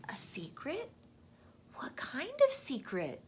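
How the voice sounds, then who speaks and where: A woman's voice: high-pitched, wildly swooping pitch, slow pace, a little mellow, in a whisper, heavily acted. A woman voicing a young boy raccoon with childlike, earnest questioning in a children's storybook. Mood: curious. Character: young boy raccoon, pleading, curious and loving. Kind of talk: children's storybook read-aloud.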